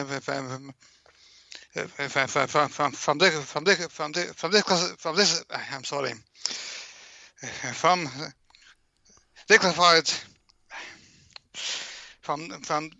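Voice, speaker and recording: High-voiced, stammering Latvian man. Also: gravelly, pushed and bright, no room sound. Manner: nervous, stammering, repeats words. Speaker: interview guest. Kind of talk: live interview answers. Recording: slightly dull, lo-fi connection.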